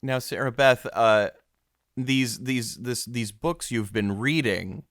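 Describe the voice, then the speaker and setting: A man hosting a mock-documentary comedy interview podcast in an earnest, measured and halting way. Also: medium pitch, a bit bright, no room sound, clean studio sound. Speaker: podcast host.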